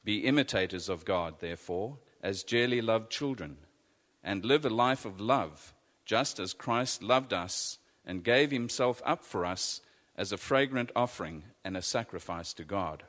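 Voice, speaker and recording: Male scripture reader, lowish voice, deliberate delivery, long church reverb. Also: animated, fairly bright tone. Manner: measured, deliberate reading. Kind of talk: scripture reading. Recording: echoey church hall.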